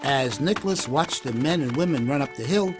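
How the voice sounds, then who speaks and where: A man narrating a scripted children's story for English learners in a deliberate, expressive way. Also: medium pitch, over music.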